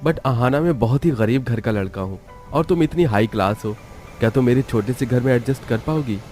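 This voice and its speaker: A man playing an earnest young husband of modest means, pleading and sincere, in an animated family story. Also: mid-range voice, fairly quick, soft background music, lightly acted. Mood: sad.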